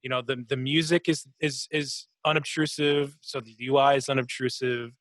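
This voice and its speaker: A man co-hosting a casual gaming podcast, thinking aloud in a halting way and often repeating his words. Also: mid-range voice, level tone, fairly bright tone, no room sound. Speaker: podcast co-host.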